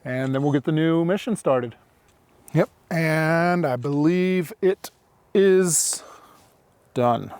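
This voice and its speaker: A man's voice: fairly high pitch, slow, long pauses, a little mellow, clean tone, no room sound. A middle-aged man giving casual running commentary as he hosts a gear demonstration outdoors. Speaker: drone pilot and video host. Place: outdoors in open dry hills.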